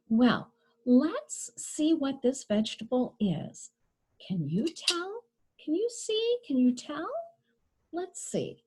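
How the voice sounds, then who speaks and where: A woman hosting a storytime, reading a picture book aloud to young children in an expressive, deliberate way and addressing them directly. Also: fairly high pitch, husky. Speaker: children's librarian, storytime host.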